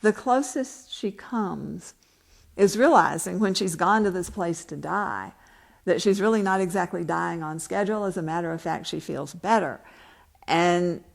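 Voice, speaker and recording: A woman's voice: lowish voice, a little slow, vocal fry. A woman novelist speaking at a book event, reading from her work and answering questions.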